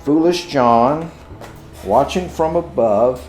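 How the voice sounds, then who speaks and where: A male storyteller reads a folktale at a slow, measured pace. His voice is medium in pitch, with noticeable room echo and soft music behind it. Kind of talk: scripted folktale narration.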